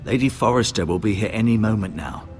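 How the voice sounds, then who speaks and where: An actor playing a grave older castle healer in a fantasy game, speaking in a measured, formal, musing manner with dry gravity. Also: male voice, lowish voice, lively intonation, a little mellow, soft background music, close to an everyday voice. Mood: pensive, probing. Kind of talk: dramatic video game acting.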